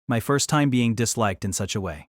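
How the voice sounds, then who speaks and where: A man's voice: fairly low pitch, expressive, normal speed, no room sound, clean studio sound. An actor playing a wry, exasperated young man, delivering wordy first-person story narration and dialogue in a deliberating, self-conscious way. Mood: puzzled, lecturing.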